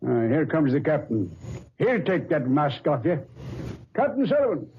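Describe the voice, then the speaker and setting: A gruff skeptical cop. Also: male voice, on the high side, sing-song, normal speed. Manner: gruff, skeptical street slang. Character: blunt skeptical policeman, adult man. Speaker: Casey, police officer. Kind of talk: 1940s radio acting. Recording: tinny old radio recording with faint hiss.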